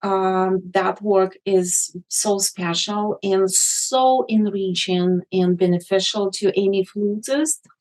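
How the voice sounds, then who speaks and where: Woman, slow, hesitant fillers, interview guest. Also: mid-range voice, fairly even intonation, a little mellow, low-quality recording. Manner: deliberate, with many ums and uhs. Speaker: guest, magazine editor. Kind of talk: interview answers.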